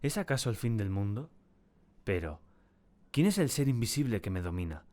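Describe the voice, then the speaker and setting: A man acting a tormented, terrified diarist in a dramatic first-person audiobook narration, with a solemn and deliberate, theatrical delivery. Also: fairly low pitch, very animated, a little mellow. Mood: uneasy, brooding. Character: tormented, terrified man confiding in his diary.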